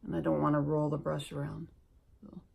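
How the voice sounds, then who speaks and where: A woman from Alaska chatting slowly and self-deprecatingly while doing her makeup for a beauty vlog. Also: low voice, level tone, gravelly, warm tone, breathy edge. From Alaska, USA. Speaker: beauty vlogger.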